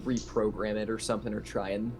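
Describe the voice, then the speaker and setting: Lowish-voiced man in a noisy game session. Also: level tone, quick pace. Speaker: tabletop game player. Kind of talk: casual in-game talk. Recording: clearly noisy hobby game recording.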